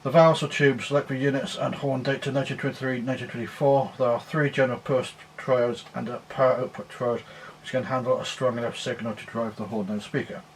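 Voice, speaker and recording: A man presenting a technical demonstration, explaining in a measured, plain way. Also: medium pitch, little pitch movement, a little hiss or hum. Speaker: presenter demonstrating an antique radio.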